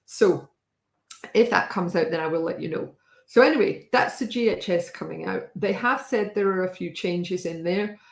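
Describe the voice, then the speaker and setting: A woman hosting a casual talk with a wry, chatty manner and a slightly hoarse voice, often pausing with fillers. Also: fairly low pitch, echoey room. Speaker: host. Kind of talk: casual live-stream talk.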